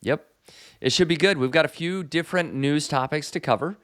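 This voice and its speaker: A middle-aged man hosting a casual podcast chat on a boom mic, relaxed and easygoing, with frequent "uh" and "um" fillers. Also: a little fast. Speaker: podcast host. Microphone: studio boom mic.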